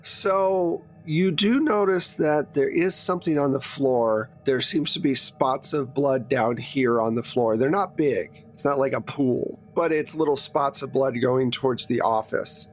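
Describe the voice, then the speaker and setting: Casting: game master for a tabletop horror game, conversational, medium pitch, light music bed. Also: unhurried, hardly any pauses. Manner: casual, conversational.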